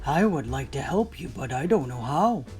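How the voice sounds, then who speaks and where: Lady doing a scarecrow, low pitched, polite but not much help, kids storybook. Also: big pitch swings, moderate pace, darkish tone, faint music behind. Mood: aloof. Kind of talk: children's storybook character voice.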